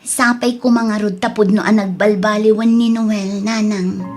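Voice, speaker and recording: An actress as a long-suffering young wife and daughter in an Ilocano radio drama. Her voice sits at a middle pitch, with an Ilocano accent and earnest, emotional drama delivery. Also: steady tone, measured pace, darkish tone, soft background music, natural voice. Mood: weary, subdued.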